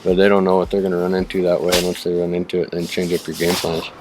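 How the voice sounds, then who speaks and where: Low-voiced man in loud outdoor noise. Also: completely flat delivery, fast talker. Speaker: hunting guide. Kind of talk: casual chat. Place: outdoors on a snowy mountain.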